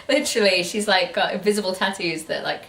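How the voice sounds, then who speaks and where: A woman hosting a casual book review, chatting in an animated, incredulous and informal way. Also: mid-range voice, moderate pace, creaky, background noise. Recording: roomy, echoey room sound.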